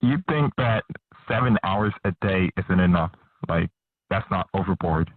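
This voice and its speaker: A male caller with a lowish, husky voice who speaks haltingly and hesitantly. He comes through a narrow, phone-like line that sounds dry and close. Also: no echo. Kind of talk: phone-in conversation. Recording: tinny phone-line audio.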